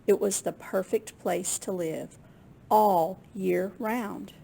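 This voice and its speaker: A woman with a slightly husky, gravelly voice reading a picture book aloud to young children in an expressive way. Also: medium pitch, slow, a little hiss or hum. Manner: expressive, with gasps and questions to young listeners. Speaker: children's librarian storyteller.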